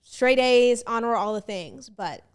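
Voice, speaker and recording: A woman's voice: a little high, expressive, unhurried, smooth. Chatty young woman podcast host. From born in Ethiopia, raised in Northern Virginia. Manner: chatty, laughs easily, talks about being first generation. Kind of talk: casual podcast chat.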